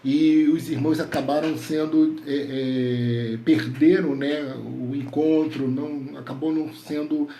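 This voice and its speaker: A male pastor whose voice is a bit nasal from a cold speaks from home into a headset mic. His tone is dark and mellow, and he prays fervently with many repeated invocations before addressing his congregation. The room is echoey, with faint background noise. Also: a little high, steady tone, unhurried, few pauses. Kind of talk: prayer and devotional address.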